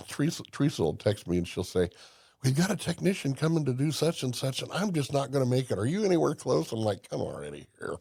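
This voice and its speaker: Older man from Indiana, low gravelly voice, folksy storyteller, dry close mic. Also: sing-song, brisk, a little mellow, dry recording. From Indiana, USA. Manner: folksy storyteller, emphatic, with restarts. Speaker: podcast guest. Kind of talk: casual conversation.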